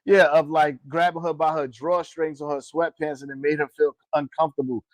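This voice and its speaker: A man's voice: a little high, flat delivery, a little fast, no echo, low-quality recording. A man co-hosting a casual wrestling podcast, talking bluntly with heavy slang and constant cursing. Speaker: podcast co-host. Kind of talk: casual profane chat about pro wrestling.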